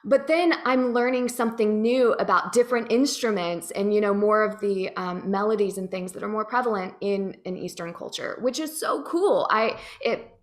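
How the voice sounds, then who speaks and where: A woman's voice: medium pitch, normal speed, few pauses. A woman chatting casually and enthusiastically as a guest voice actor. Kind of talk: casual chat.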